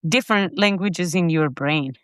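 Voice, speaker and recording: A woman co-hosting a podcast who asks the guest curious, animated questions. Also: fairly low pitch, normal speed, clear, resonant tone, no echo, studio quality. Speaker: podcast co-host. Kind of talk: casual interview questions.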